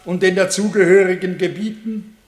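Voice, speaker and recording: An actor playing a solemn, pompous dignitary making ceremonial proclamations in 1960s German radio play style. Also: male voice, high-pitched, fairly even intonation, moderate pace, lightly acted. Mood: ceremonial, exalted. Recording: echoey hall with long reverb.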